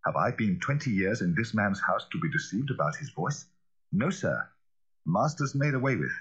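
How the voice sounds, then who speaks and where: A man voicing a loyal, frightened old butler in an audiobook, with a hoarse, deferential, urgent delivery. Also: lowish voice, lively intonation, moderate pace, fairly bright tone. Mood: agitated, insistent. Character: anxious loyal old butler, fearful for his master. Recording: slightly dull, lo-fi audio.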